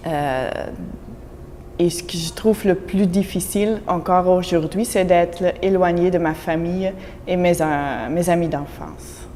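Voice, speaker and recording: A woman's voice: fairly low pitch, unhurried, warm tone. A woman from Belgium whose first language is Dutch, speaking French in an interview, earnest and reflective with frequent fillers. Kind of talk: on-camera interview. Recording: echoey room with faint background noise.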